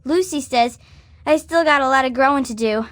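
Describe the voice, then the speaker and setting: A woman's voice: high-pitched, normal speed, a bit bright. A woman playing a small, naive, clumsy child in a video game, speaking in simple, innocent phrases. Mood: innocent, chatty. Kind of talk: video game character dialogue.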